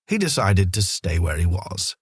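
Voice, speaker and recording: A man narrating a children's story in an expressive, scripted storytelling style. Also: sits low, moderate pace, husky, no room sound, clean studio sound.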